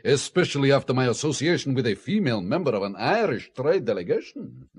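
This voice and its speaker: A man's voice: mid-range voice, sing-song, fast, slightly rough. A man playing a sly, mock-gallant communist colonel in a radio spy drama.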